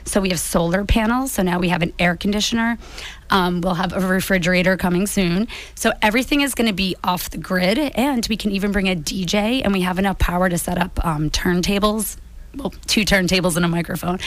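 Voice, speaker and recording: A woman with an American accent and a slightly raspy voice, chatting casually as an interview guest. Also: fairly low pitch, a little fast. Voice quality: a little raspy. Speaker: guest, small business founder.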